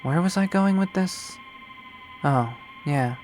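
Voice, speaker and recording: A man's voice: sits high, takes it slowly, darkish tone, slight background noise, pitched above the performer's own voice. A man voicing a jaded, unhinged young woman artist in a bitter, profane, rambling monologue from a visual novel. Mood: bleak, contemptuous. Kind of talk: dramatic visual novel readthrough.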